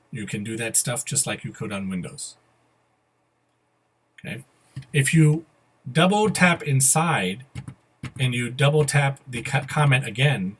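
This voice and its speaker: Guy with a bit of a husky voice walking thru computer steps, casual, spells out every click. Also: mid-range voice, a bit bright. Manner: methodical, spelling out each step. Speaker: software tutorial presenter. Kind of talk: casual step-by-step screencast instruction. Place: voice-over to a computer screen recording.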